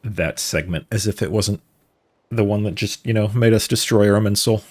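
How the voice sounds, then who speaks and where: A man's voice: lowish voice, normal speed. A man streaming a game, chatting casually and reacting aloud to the story. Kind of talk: live game commentary. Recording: stream with game music behind.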